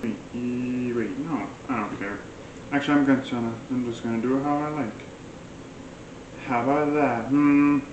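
A man's voice: medium pitch, normal speed, dull audio. A young man in his twenties chatting offhand in a casual home cooking vlog, full of fillers. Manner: rambling, offhand, many fillers. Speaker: vlogger. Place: small home kitchen, indoors. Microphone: camera set on the counter, at a distance. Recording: echoey kitchen with background noise.

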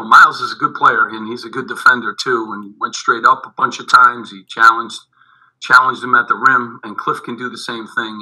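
Halting middle-aged coach on a video call. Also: male voice, mid-range voice, sharp tone, poor audio. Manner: halting, repeats words, many fillers. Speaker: head basketball coach. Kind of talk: postgame press conference answers. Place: indoors in front of a team backdrop. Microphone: video call webcam.

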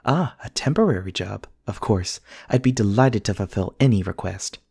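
Man voicing a formal, low-voiced companion robot. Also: expressive, creaky, darkish tone, breathy edge, no room sound, studio quality, exaggerated voice. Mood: courteous, helpful. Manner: formal, measured, precise diction. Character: polite, earnest male companion robot, courteous and literal. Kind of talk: scripted ASMR roleplay acting.

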